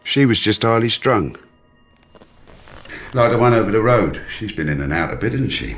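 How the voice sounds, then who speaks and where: A man's voice: lowish voice, expressive, normal speed, fairly bright tone, soft background music. An actor playing a gossipy, morbid British male neighbour in a radio drama, rambling with insinuating asides. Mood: confiding, gossipy. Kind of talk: naturalistic radio drama acting.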